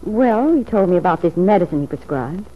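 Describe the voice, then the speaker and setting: A woman's voice: lowish voice, expressive, a little fast. An actress playing a troubled, wavering wife who plots with her lover in a radio drama, earnest and hesitant. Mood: confiding. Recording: tinny, noisy old radio recording.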